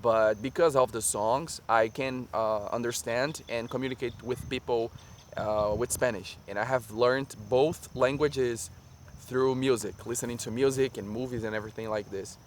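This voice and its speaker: A Brazilian man whose first language is Portuguese, speaking English with a Brazilian accent in a relaxed, halting way with many fillers, recorded outdoors in a park. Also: mid-range voice. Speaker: vlogger. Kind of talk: casual chat to camera. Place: sunny park by a lagoon, outdoors. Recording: faint park and traffic noise outdoors.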